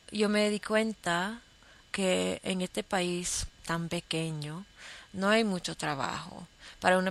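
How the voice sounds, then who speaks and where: A young adult woman hosting her own podcast, talking about her life in a halting, unscripted monologue full of fillers and restarts, in a with as her first. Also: lowish voice, creaky. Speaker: podcast host. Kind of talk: casual personal monologue.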